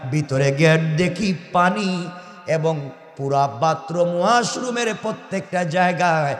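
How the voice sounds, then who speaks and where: High-pitched Bangladeshi preacher, man, heavy reverb. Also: unhurried. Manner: declamatory, sing-song chanting at phrase ends, calls on listeners to respond. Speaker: Islamic preacher. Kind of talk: Bengali waz sermon, dramatic religious storytelling. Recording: echoey PA sound with long reverb.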